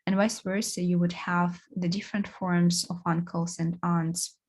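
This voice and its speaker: A woman giving a seminar talk, halting, with many fillers and restarts. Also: on the low side, level tone. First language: Russian. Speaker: course presenter.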